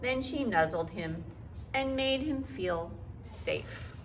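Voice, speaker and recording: A woman teacher reading a children's book aloud in an expressive, deliberate storyteller style for young listeners. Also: on the high side, slight background noise, rough recording.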